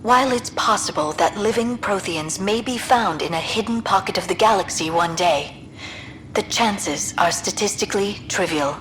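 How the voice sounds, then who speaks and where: Precise, scholarly woman, medium pitch , acted. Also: normal speed, a bit bright, faint music behind, lightly acted, rough recording. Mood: composed, scholarly. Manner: precise, academic. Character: composed, scholarly female alien archaeologist. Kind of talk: scripted lecture narration in a video game.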